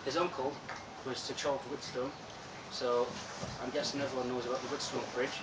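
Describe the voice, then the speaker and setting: A soft-spoken young man giving an informal lecture, relaxed and chatty with frequent ums. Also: medium pitch, fairly quick. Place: lecture room with projector, indoors. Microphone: far room camera. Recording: echoey room from far off with loud hiss.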